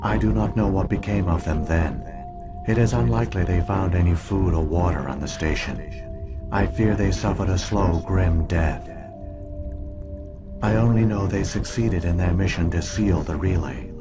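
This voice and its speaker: An actor voicing an ancient alien artificial intelligence in a video game, speaking in a formal, measured, detached way. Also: male voice, low voice, over music. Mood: solemn, instructive. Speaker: exposition-giving game character. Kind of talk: scripted video game dialogue.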